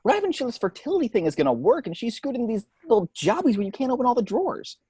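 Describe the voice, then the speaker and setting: Woman as a wry office guy, lively pitch. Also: fast talker, husky, dry recording, studio quality. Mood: exasperated griping. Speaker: table read host and actor. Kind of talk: amateur screenplay table read. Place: at home, remote table read.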